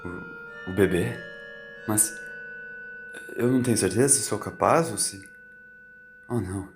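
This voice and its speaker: A man's voice: lowish voice, measured pace. A man voicing a flustered, reluctant male homeowner who speaks in a hesitant, formal, indignant way in an audio story. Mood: dismayed. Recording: echoey, with music behind.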